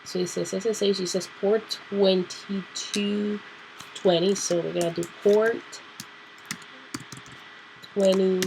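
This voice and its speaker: Woman, nasal from a cold, slow chatty tutorial, faint hiss behind. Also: medium pitch, steady tone, vocal fry, darkish tone, low-quality recording. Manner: chatty, thinking aloud with fillers. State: sick, nasal and clearing throat. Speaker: tutorial host. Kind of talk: casual screen-recorded tutorial.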